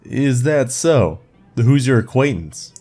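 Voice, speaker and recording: A man playing a suspicious, gruff neighborhood guard who questions outsiders in a slow, threatening way. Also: medium pitch, lively intonation, dark tone. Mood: wary. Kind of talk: fan audio drama acting. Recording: faint music behind.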